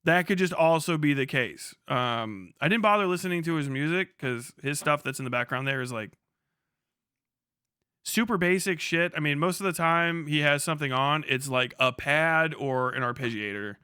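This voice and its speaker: A man's voice: on the high side, moderate pace, sharp tone, clear, resonant tone, no echo, studio quality. A man hosting a livestream with casual, slangy, profanity-laden commentary. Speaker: livestream host.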